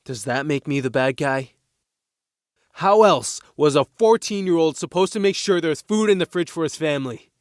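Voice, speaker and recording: A man's voice: a little high, animated, moderate pace, no room sound, studio quality, lightly acted. A man playing a loyal, defensive working-class teenage boy in an audio drama, speaking earnestly and firmly. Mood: guarded, regretful.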